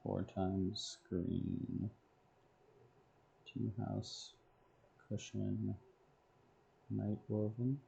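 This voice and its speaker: A man streaming a game, talking to himself in halting, terse fragments. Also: fairly low pitch, flat delivery, vocal fry, dark tone, slightly rough, low-quality recording. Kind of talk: muttering item names while making a list.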